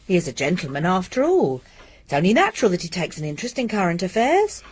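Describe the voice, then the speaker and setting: Anxious, fretful wife; husky, very expressive pitch. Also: female voice, fast, exaggerated voice. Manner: pleading, worried. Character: anxious middle-aged wife, tender and fretful. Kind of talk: radio drama acting.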